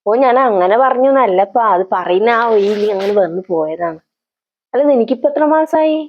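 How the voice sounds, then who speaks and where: A woman's voice: mid-range voice, expressive, a little fast, lo-fi sound, close to an everyday voice. A woman playing a tactless, complaining visiting village aunt in a comedy sketch, with a Kannur accent. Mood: smug, tactless. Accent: Kannur, north Kerala. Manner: backhanded, complaining.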